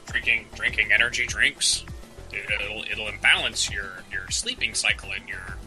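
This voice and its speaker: A man's voice: on the low side, normal speed, pushed and bright, faint music behind, poor audio. A man co-hosting a podcast with casual banter, often stammering and repeating his words as he goes. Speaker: podcast co-host.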